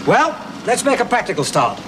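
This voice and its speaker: A hands-on male engineer who wants to get practical and has no time for jokes. His voice is high and swings widely. Room echo and hiss sit on the old soundtrack. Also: huge pitch swings, brisk. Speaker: engineer on a research team. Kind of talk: 1970s television drama acting. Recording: echoey, hissy old TV soundtrack.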